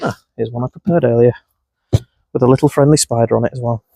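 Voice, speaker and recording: A man's voice: mid-range voice, fairly even intonation, fast talker, vocal fry, mellow, dry recording. A man with a mild Scouse-Wigan accent, narrating a beekeeping vlog outdoors at his hives, chatting and scolding the bees as he works. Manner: chatty, talks to his bees, voice jumps up when startled. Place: outdoors at beehives in a bee suit. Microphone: mic clipped close, bees crawling on it.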